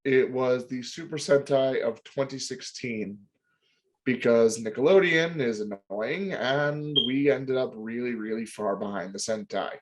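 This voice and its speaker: A man's voice: medium pitch, a little slow. Young man explaining things, hedging. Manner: explanatory, hedging, lots of fillers. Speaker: podcast co-host. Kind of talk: casual chat.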